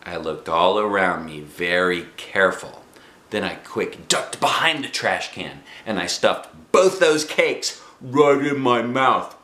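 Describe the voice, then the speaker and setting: A man voicing a self-assured, excitable little kindergarten girl who tells her storybook tale in emphatic childlike grammar. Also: fairly low pitch, takes it slowly, reverberant, pitched below the performer's own voice, heavily acted. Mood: chatty, pleased. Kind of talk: first-person children's storybook reading.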